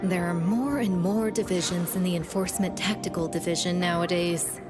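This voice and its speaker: A woman's voice: fairly low pitch, fairly even intonation, normal speed, natural voice. Wry, teasing veteran woman agent, played by an actress. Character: teasing, jaded veteran woman agent, playfully doting on juniors. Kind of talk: anime game voice acting. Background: background music.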